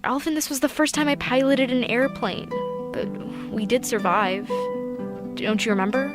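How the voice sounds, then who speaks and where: A woman's voice: fairly high pitch, normal speed, lightly acted. An actress playing a hopeful female captain of a stranded spaceship in an audio drama, speaking in a coaxing, encouraging way. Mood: gently reassuring. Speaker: voice actor. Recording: music behind the voice.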